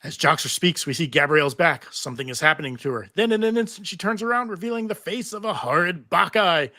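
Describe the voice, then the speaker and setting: A man's voice: on the high side, animated, fast, sharp tone. A man serving as narrator, reading stage directions in scripted narration at a live table read.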